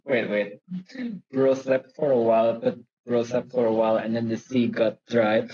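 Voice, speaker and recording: The recording is dull and lo-fi. A man with a mid-pitched voice chats in a casual, slangy way. He serves as a co-commentator, putting in short remarks. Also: flat delivery, normal speed, warm tone. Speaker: co-commentator listening to the reading. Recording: dull, lo-fi voice audio.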